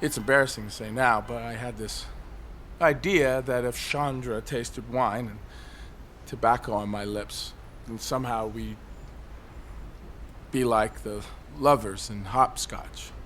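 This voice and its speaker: An actor playing a despairing, agitated man perched on a high ledge in an audio drama, rambling on and given to outbursts. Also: fairly even intonation, a little slow, lightly acted. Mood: wistful, rambling. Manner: rambling, wistful, bursting into shouts. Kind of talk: audio drama monologue and dialogue. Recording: faint city traffic noise behind.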